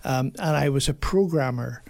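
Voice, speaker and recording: A man's voice: medium pitch, normal speed, vocal fry, darkish tone, dry recording. An older man with a Northern Irish accent, telling his life story conversationally as an interview guest. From Belfast, Northern Ireland.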